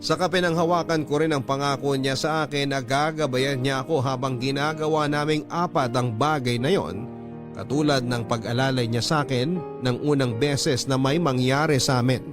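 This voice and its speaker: A man's voice: medium pitch, fairly even intonation, normal speed. A man with a Filipino accent reading a first-person story as a radio host, in a dramatic narration style. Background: background music.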